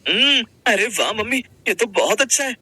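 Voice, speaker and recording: A man voicing an excited, delighted little boy in a Hindi moral-story cartoon. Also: high-pitched, moderate pace, background noise.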